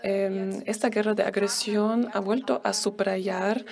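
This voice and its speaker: Slow, creaky-voiced woman interpreting. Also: medium pitch, fairly even intonation, a little mellow. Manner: halting, formal. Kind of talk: live simultaneous interpreting.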